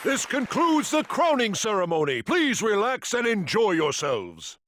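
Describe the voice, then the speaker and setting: An actor playing a grandiose tournament announcer who proclaims a crowning ceremony in a booming, theatrical manner. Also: male voice, huge pitch swings, unhurried, fairly bright tone, a faint crowd, slight background noise, exaggerated voice. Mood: proclaiming.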